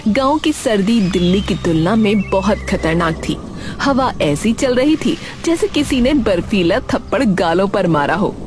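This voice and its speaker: Animated woman narrating a cartoon story. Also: mid-range voice, a little slow, over music. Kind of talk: expressive scripted cartoon narration.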